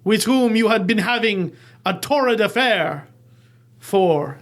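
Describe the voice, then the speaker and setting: A man's voice: high voice, animated, a bit bright, exaggerated voice. A man voicing a pompous male detective who delivers an accusing monologue in a comedy podcast sketch. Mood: grandiose. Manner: slow, theatrical, accusing.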